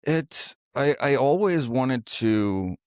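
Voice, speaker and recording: A man from Bosnia, a theater director and actor, talking in a casual interview, halting with many fillers and restarts. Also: medium pitch, expressive, a little mellow, clear, resonant tone, no room sound, clean studio sound. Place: podcast studio.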